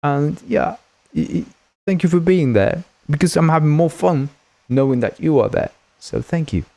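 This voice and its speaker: A man's voice: fairly high pitch, expressive, normal speed, gravelly, mellow, no echo. A man whose first language is Spanish, hosting a game development livestream in a rambling, jokey way and thanking viewers often. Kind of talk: casual livestream chat.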